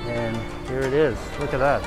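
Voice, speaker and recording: A man filming a casual food vlog in a fast food restaurant, chatting with enthusiasm and plenty of fillers. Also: mid-range voice, fairly even intonation, over loud music. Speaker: food vlogger.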